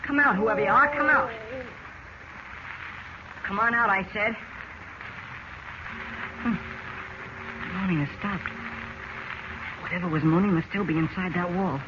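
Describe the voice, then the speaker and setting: High-pitched teenage boy helper, plucky, earnest, bossy, vintage radio drama, muffled and noisy recording. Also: unhurried, long pauses. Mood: challenging, then wary. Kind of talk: old-time radio drama acting.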